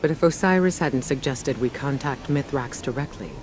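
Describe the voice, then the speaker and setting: A woman playing a composed, authoritative intelligence officer, measured and businesslike, in a video game cutscene. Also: a bass voice, a little mellow, a little hiss or hum. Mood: composed, resolute. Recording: music behind the voice. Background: game score.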